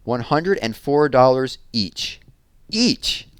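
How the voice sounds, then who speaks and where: An eager, determined young girl from a children's storybook, played by a man. The voice is lifted well above a man's usual talk and pushed louder, with an excitable manner. It sounds dry and close. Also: medium pitch, slow, clean tone, no room sound, pitched above the performer's own voice. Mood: excited.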